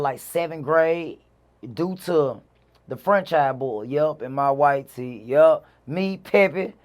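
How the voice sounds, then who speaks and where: A man with a Mississippi Southern accent telling stories casually as an interview guest, in a slangy, repetitive way peppered with "you know". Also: fairly high pitch. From Mississippi, USA. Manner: slangy, repeats himself, frequent "you know". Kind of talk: casual conversational storytelling.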